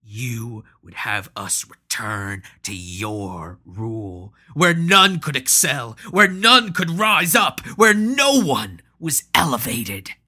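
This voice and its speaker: A storyteller voicing an ancient, arrogant old male tyrant who roars and cackles, in a breathy voice. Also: mid-range voice, sing-song, slow, few pauses, bright, forceful tone, exaggerated voice. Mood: scornful. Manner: roaring, cackling, grandiose.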